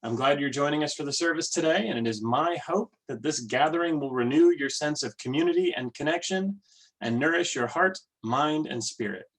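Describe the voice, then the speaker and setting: A man leading worship, giving welcomes and readings in a measured, deliberate way. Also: fairly high pitch, fairly bright tone, poor audio. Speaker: worship leader. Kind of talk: church service welcome and readings.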